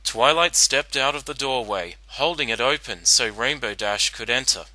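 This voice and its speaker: Very bright, dry-sounding male story narrator. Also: mid-range voice, moderate pace, no echo, rough recording. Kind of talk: dramatic reading of fan fiction.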